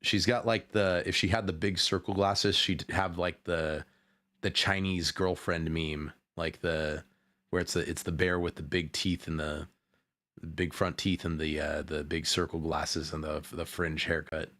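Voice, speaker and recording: A man giving casual, off-the-cuff commentary as a streamer, rambling and halting as he repeats himself. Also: low voice, flat delivery, bright, forceful tone. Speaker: streamer reacting to a video.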